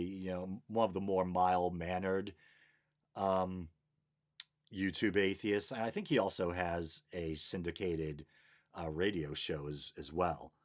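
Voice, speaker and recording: Boston-accented male podcast host, very low voice, dry sarcastic humor. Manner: wry, dryly sarcastic, chuckling asides. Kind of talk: casual solo podcast chat, reading listener comments.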